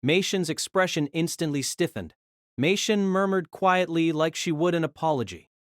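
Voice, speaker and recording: A narrator telling a fan audio drama story in an expressive storytelling manner. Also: medium pitch, normal speed, no room sound, studio quality.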